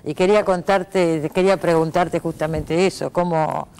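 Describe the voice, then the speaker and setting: A woman's voice: on the low side, fairly even intonation, normal speed, a little mellow, smooth. A woman with a Buenos Aires accent interviewing conversationally, eager and often chiming in with agreement. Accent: Buenos Aires Spanish.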